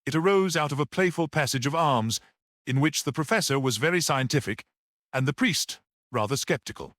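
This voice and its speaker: A man reading a literary short story aloud as an audiobook narrator in an expressive narrating style. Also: fairly high pitch, a little fast, no room sound, clean studio sound.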